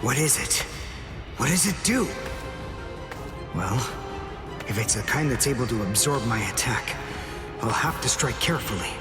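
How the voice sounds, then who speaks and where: An actor playing an earnest, intense and protective young warrior in an anime game. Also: male voice, medium pitch, lively intonation, normal speed, long pauses, close to an everyday voice. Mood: tense, calculating. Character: earnest, analytical young male archer-warrior, protective and indignant. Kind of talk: anime video game voice acting. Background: music.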